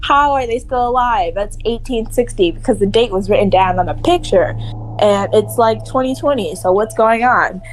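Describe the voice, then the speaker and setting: A young woman casually retelling a movie to friends in a rambling, animated way, acting out bits of dialogue and swearing. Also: a little high, moderate pace, slight background noise, poor audio. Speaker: storyteller.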